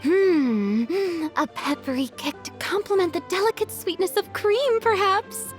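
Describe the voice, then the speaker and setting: A woman's voice: very high voice, unhurried, soft background music. A woman playing a theatrical, playful young artist in a video game cutscene, dramatically savoring her words.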